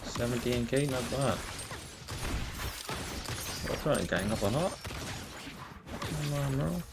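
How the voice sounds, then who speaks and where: A man's voice: mid-range voice, animated, slow, heavy background noise. A man doing casual, slangy playthrough commentary on a game, swearing at times and sometimes reading text aloud. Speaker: gameplay streamer.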